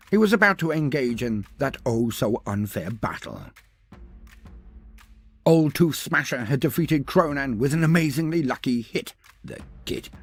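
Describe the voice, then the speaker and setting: Husky guy doing grand wordy fantasy storytelling, really animated, bit of music behind. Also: medium pitch. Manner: pompous, wordy, dramatic pauses. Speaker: storyteller narrator. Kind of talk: theatrical scripted fantasy narration.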